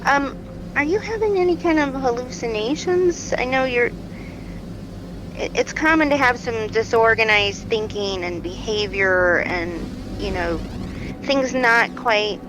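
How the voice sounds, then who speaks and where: An actress playing a supportive brain-injury therapist, gently explaining and encouraging in a naturalistic audio drama. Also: female voice, a little high, measured pace, background noise, close to an everyday voice. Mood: gentle, reassuring.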